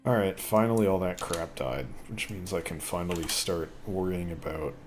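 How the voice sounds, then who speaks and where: A man rambling casually while commentating on a gameplay video, with a voice he says sounds deeper since an illness. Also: moderate pace, over music. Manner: rambling, chatty, thinks aloud. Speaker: gaming video host. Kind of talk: casual let's play commentary.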